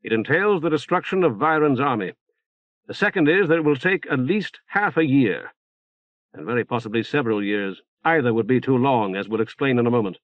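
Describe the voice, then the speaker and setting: A man reading an audiobook, playing a calm, formal and articulate male leader. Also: mid-range voice, fairly quick, dry recording, dull audio, natural voice. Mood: reasoned, firm.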